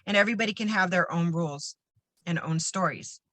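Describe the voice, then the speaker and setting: A woman's voice: fairly low pitch, brisk, a bit bright, no room sound. A woman hosting a motivational podcast conversation, who talks emphatically and repeats her points for stress. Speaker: podcast host and retreat coach.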